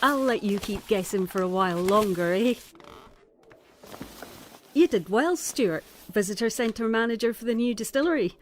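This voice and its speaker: A woman's voice: mid-range voice, animated, normal speed, a little hiss or hum, lightly acted. An actress playing a guarded, dry and troubled Scottish woman in a naturalistic audio drama, with music behind her. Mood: guarded, wry. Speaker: actor.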